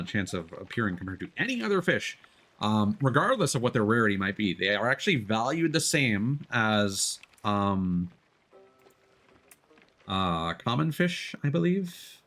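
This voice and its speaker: Low-voiced man streaming a game. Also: a bit bright. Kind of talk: casual gameplay chat, explaining game mechanics.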